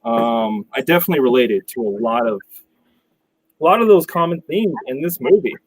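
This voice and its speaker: A young man talking as a guest on a video call, relaxed and slangy, pausing on fillers. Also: medium pitch, low-quality recording. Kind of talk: casual conversation over video call.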